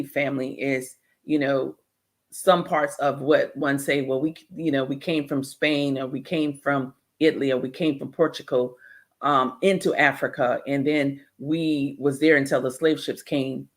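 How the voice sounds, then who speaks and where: A man presenting informal religious and historical commentary, explaining in a rambling, halting way. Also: medium pitch.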